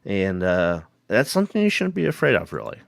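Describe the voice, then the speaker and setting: Deep hoarse guy with a cold rambling on his paranormal stream, dry close sound. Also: huge pitch swings, brisk, no echo. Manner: rambling, greets viewers by name. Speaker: paranormal live stream host. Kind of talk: casual live-stream chat.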